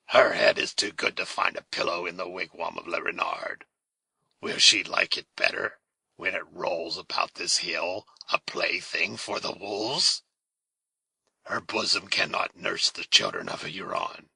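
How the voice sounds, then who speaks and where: A man voicing a fierce, taunting male warrior villain in a read-aloud story, slow and menacing. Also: sits low, lively intonation, vocal fry, sharp tone, slightly rough, no echo, full character voice.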